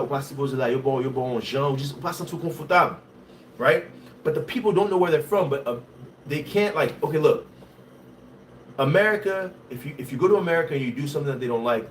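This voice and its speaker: A man from Haiti with a Haitian accent, hosting a casual livestream, talking in an animated way and reading viewer comments. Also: mid-range voice, recorded from a distance, slight background noise. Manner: switches between English and Haitian Creole, animated and conversational.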